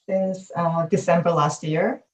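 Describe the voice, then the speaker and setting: A woman, chatting on a video call, warm and quick to laugh. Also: lowish voice, steady tone, reverberant, far from the mic, poor audio. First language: Korean. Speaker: professor, guest. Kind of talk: casual chat on a video call.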